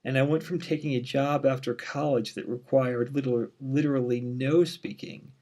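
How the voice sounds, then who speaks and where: A man in his thirties with a mild stutter, introducing himself to his online class as the instructor in a relaxed, candid way with frequent "um"s. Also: medium pitch, normal speed. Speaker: university instructor. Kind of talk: casual course introduction.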